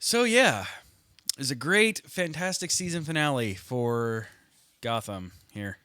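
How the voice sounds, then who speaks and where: A man's voice: medium pitch, lively intonation, takes it slowly, pushed and bright, no echo, studio quality. A man co-hosting a podcast in casual chat, congested with a head cold, joking and laughing often. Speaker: podcast co-host.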